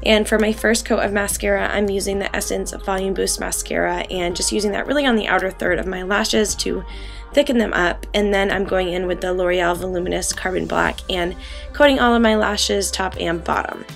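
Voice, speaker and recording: A young woman in her twenties, raspy from a cold, chatting through a makeup tutorial in a bedroom, full of ums and likes. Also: medium pitch, steady tone, fairly quick, soft background music. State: getting over a cold. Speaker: beauty vlogger. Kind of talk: casual makeup tutorial talk. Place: bedroom, indoors.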